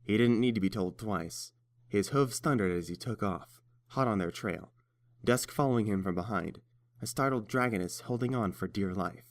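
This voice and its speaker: Male narrator for scripted fiction, slightly low voice, storybook reading. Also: normal speed.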